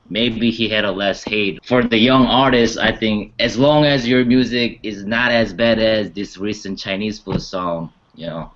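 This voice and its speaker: Lowish-voiced man in casual chat. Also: fairly bright tone. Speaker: panelist on a music talk show. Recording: slightly dull, muffled audio.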